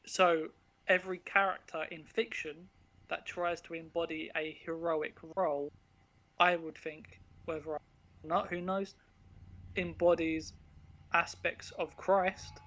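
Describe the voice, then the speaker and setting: A man's voice: fairly high pitch, flat delivery, a little slow, pushed and bright. A man in casual, reflective conversation as an interviewed author, rambling informally and searching for words. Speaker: interviewed author of an audio drama.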